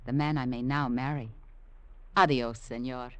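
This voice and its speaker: A woman's voice: a bass voice, steady tone, moderate pace, a bit bright, dry recording. Yearning young woman. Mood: grateful, tender. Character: emotional, yearning young South American woman. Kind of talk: 1940s radio drama acting.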